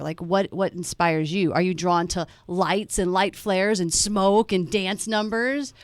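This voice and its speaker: A woman film director giving lively, emphatic advice in a conversational interview. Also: lowish voice, dry recording.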